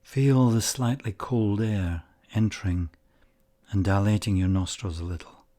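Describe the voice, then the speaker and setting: Male meditation guide, low-pitched and very unhurried, calm, spacious phrasing. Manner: calm, measured, short phrases with long pauses.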